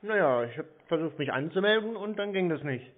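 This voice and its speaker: Deadpan man on a narrow phone line. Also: a little high, brisk. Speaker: scam-baiter taking the call. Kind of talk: casual phone conversation. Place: phone call. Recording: narrow-band phone call.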